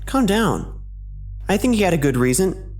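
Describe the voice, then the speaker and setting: A woman's voice: fairly low pitch, sing-song, normal speed, over music. A woman playing a cautious, placating confidante in an audio drama. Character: cautious, calming female confidante.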